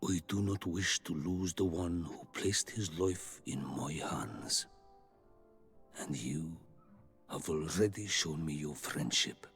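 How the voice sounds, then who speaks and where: An actor playing a solemn, kindly and wise native healer in a fantasy video game, with a husky voice. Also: male voice, sits low, takes it slowly, fairly bright tone, soft background music, heavily acted. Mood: grave, gentle. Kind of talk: video game cutscene acting.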